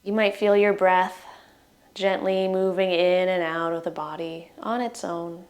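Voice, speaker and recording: Slow-paced woman guiding meditation. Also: lowish voice, fairly even intonation. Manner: soothing, gentle instructions in short phrases with trailing ends. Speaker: meditation guide. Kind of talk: guided relaxation meditation.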